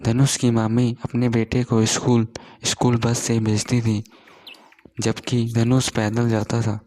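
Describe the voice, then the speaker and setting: A male narrator reads a scripted children's tale. His pace is slow and his medium-pitched voice stays level, with little rise and fall. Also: monotone, a little mellow.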